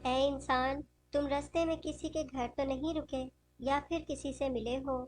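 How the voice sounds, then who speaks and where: A woman's voice: sits high, fairly even intonation, moderate pace, soft background music, lightly acted. A woman voicing a stern, commanding fairy in a cartoon moral story. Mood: questioning.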